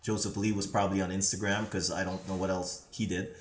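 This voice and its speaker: A man from Canada with a somewhat low voice tells stories in a casual, animated way, jumping up in energy now and then. His voice carries a roomy echo. It sounds like relaxed podcast chat. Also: quick pace, a crowd in the background. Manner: lively storyteller, swings into loud animated bursts. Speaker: podcast co-host, here as guest.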